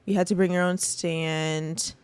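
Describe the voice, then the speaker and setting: A woman's voice: on the low side, level tone, measured pace, darkish tone, clean tone, no echo. A young woman co-hosting a casual podcast, chatting loosely with lots of fillers and laughs. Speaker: podcast co-host. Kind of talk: casual chat.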